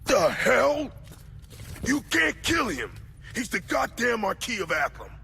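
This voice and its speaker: A high, very raspy, agitated henchman. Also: huge pitch swings, takes it slowly, heavily acted. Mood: alarmed, exasperated. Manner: cursing, strained, shouting. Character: agitated, coarse male villain's accomplice. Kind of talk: dramatic video game acting.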